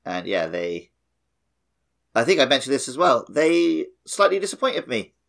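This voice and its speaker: Animated man chatting on a podcast. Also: medium pitch, normal speed, gravelly. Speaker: podcast host. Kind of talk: casual chat on a gaming podcast.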